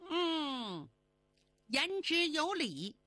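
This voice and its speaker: A woman's voice: sits high, big pitch swings, extremely slow, sharp tone, no echo, pitched above the performer's own voice, exaggerated voice. A woman voicing a vain, spoiled young moon goddess in a storytelling tale, coquettish and full of exclamations. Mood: surprised.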